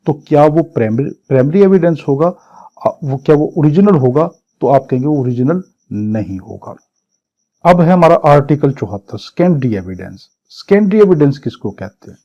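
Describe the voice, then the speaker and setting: A man's voice: a little high, warm tone, highs cut off. A man with a Pakistani accent lecturing for a law exam, reading clauses and explaining them in a didactic, repetitive, question-and-answer way.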